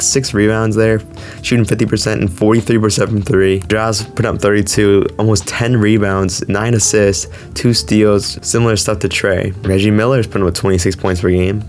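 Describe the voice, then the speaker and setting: A man with a low-pitched voice talks casually as a gaming video host. He sounds slightly sick and keeps an informal, chatty delivery. Music plays faintly behind his commentary. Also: a little mellow. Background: background music.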